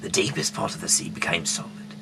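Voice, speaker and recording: A man with a deep, often hushed voice reads scripture aloud informally, with halting, casual asides. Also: very animated, gravelly. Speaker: host reading the Bible aloud. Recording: noisy amateur recording with hum.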